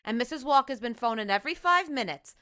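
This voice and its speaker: A woman's voice: fairly high pitch, lively intonation, quick pace, sharp tone, dry recording, studio quality. A woman playing an exasperated housewife and mother in a 1950s-style radio sitcom, fretful and scolding. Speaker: radio play actor.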